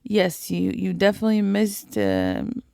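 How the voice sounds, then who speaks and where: A middle-aged woman, recalling family life haltingly with many pauses and restarts. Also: mid-range voice, big pitch swings, vocal fry, dark tone, no room sound, clean studio sound. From Egypt. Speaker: interviewee, mother, doctor. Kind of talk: casual family interview.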